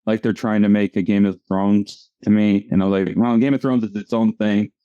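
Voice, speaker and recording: A man's voice: lowish voice, flat delivery, brisk, clean tone, no room sound. A man, a comic strip creator, chatting casually as an interview guest, rambling and often restarting his sentences. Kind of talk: casual conversation. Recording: dull lo-fi audio.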